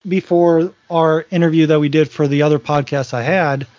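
A man's voice: fairly high pitch, fairly even intonation, normal speed, a little mellow, no echo, highs cut off. A man in his forties co-hosting a podcast, chatting casually and rambling with frequent restarts. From Virginia. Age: 40s. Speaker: podcast co-host. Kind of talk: casual chat.

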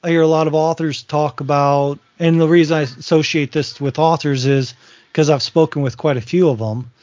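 A man's voice: a little high, fairly even intonation, moderate pace, a little mellow, dry recording, lo-fi sound. A man in his forties co-hosting a podcast, chatting casually and rambling with frequent restarts. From Virginia. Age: 40s. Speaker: podcast co-host. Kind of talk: casual chat.

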